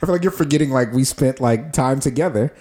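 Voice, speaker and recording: A man's voice: mid-range voice, brisk, warm tone. Rambling young man co-hosting a podcast. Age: young adult. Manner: rambling, lots of fillers and restarts. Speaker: podcast co-host. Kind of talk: casual chat.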